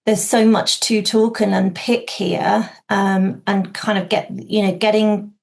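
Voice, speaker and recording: A woman's voice: mid-range voice, fairly even intonation, a little slow, vocal fry, darkish tone. A woman in her forties from Wales, speaking as a podcast guest, talking reflectively with many fillers and restarts. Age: 40s. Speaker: podcast guest, outdoor learning specialist. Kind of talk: casual conversation.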